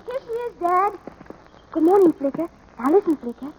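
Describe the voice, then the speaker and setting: A woman's voice: very high voice, animated, normal speed, darkish tone, low-quality recording. A performer voicing an eager, anxious young American ranch boy, earnest and hesitant, in 1940s radio drama style. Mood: bright, hopeful. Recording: vintage radio drama recording.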